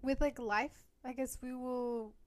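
A woman co-hosting a podcast in casual, slangy chat with animated reactions. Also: high voice, measured pace, no echo. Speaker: podcast co-host.

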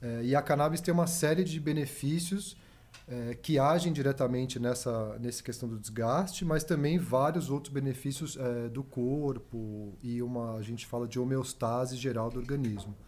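A man's voice: mid-range voice, normal speed, darkish tone. A man, guest athlete on a podcast, explaining thoughtfully with frequent hesitations. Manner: thoughtful, filler-heavy explaining. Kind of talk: conversational explanation.

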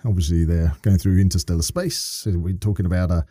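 A man's voice: sits low, fairly even intonation, fairly quick, mellow, breathy edge, no echo. A man co-hosting a podcast in casual chat, with theatrical emphasis. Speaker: podcast co-host.

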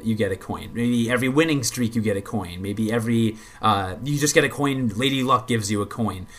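A man in the middle of the usual pitch range talks through a game in relaxed podcast chat. He rambles and stumbles into repeated words, and swears when something annoys him. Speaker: podcast co-host. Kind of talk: casual gaming chat.

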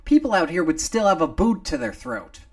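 A man voicing an older, guilt-ridden male gangster with a gruff, confrontational way of talking. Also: high-pitched, animated, a little fast, voice pushed up. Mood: tense.

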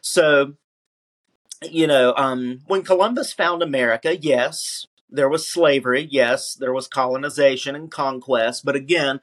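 A man's voice: mid-range voice, unhurried, fairly bright tone. A man who is a guest on a podcast, speaking emphatically and often restarting his sentences with "you know". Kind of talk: casual conversational interview.

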